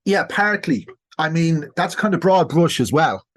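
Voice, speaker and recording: A man's voice: on the high side, lively intonation, measured pace. An Irish man speaking as a historian guest in a podcast interview, explaining things in a discursive way. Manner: discursive, explanatory, checks listener with "right?".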